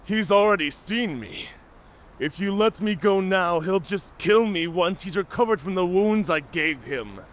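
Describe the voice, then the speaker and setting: A slow, deliberate werewolf in human form, pitched a little high for a male voice. He is wounded yet wry and talkative, bantering theatrically as in a cinematic audio roleplay. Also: lively intonation, fairly bright tone. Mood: wary, bargaining.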